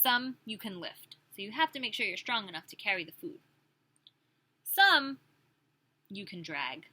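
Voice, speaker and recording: A woman's voice: mid-range voice, big pitch swings, a little slow, pushed and bright. A woman preschool teacher reading a picture book aloud expressively, with questions and chatty asides for small children.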